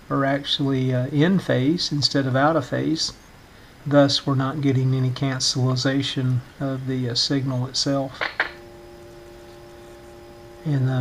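A middle-aged or older man narrating a hands-on demonstration in a measured, explanatory way, often pausing mid-phrase. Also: medium pitch, slight background noise, poor audio. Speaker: vintage radio restorer giving a demonstration.

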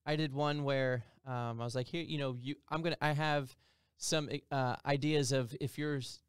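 A man's voice: mid-range voice, steady tone, normal speed, smooth, no room sound. A man in his thirties hosting a wedding podcast, telling stories full of quoted asides and fillers into a studio mic. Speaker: podcast host, DJ. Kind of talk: casual podcast chat. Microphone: studio mic on boom arm, headphones.

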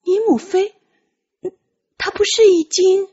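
A woman voicing an anxious, kind-hearted young woman disguised as a eunuch, soft and apologetic in an audiobook drama. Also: very high-pitched, fairly even intonation, slow pace, lo-fi sound, voice pushed up. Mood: worried, guilty. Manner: flustered, hesitant, pleading.

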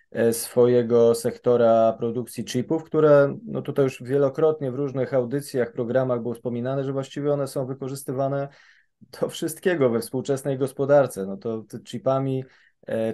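A man in his thirties gives careful expert commentary, often pausing with fillers and restarting his sentences. His voice is mellow and dark-toned, sitting at an ordinary pitch. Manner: hesitant, many fillers and restarts. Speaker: policy analyst guest.

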